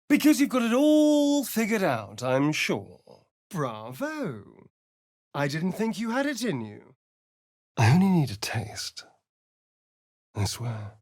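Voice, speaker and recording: A scheming, sardonic male vampire rogue from a video game. His delivery is arch and theatrical, swinging widely in pitch while taking its time over each phrase. The sound is dry and close, with a vain, sarcastic edge. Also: very animated, slow, long pauses, no echo, exaggerated voice. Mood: sarcastic, playful. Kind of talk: video game character voice lines.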